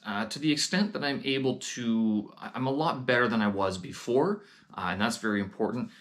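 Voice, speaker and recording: A man speaks in a slightly low voice as he hosts his own vlog. His delivery is casual and unscripted, full of "uh" fillers and small restarts as he works out his thoughts. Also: a bit bright. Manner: hesitant, many "uh" fillers and restarts. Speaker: vlog host. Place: lives in Beijing.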